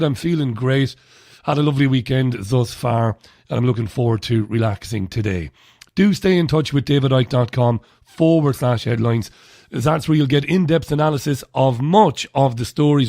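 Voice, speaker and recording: Throaty, gravelly-voiced man hosting live radio. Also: medium pitch, mellow, dry recording, studio quality. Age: 40s. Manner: blunt, chatty and sardonic. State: slightly throaty. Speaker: radio show host. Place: radio studio.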